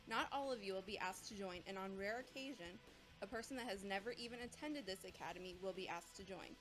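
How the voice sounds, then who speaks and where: A woman playing a composed, patient academy instructor, lecturing in a measured, authoritative way as scripted drama. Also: mid-range voice, fairly bright tone, natural voice, rough recording. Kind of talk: scripted audio drama dialogue.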